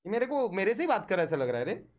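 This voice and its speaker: A man's voice: high-pitched, sing-song, racing. A young man giving casual live reaction commentary as a gaming streamer, with teasing banter. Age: young adult.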